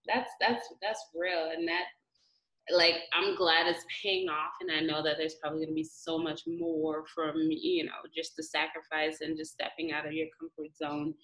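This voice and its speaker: A woman's voice: sits low, flat delivery, normal speed, fairly bright tone, rough recording. A woman hosting a casual interview, upbeat and encouraging, with lots of likes and slang. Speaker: interviewer, podcast host.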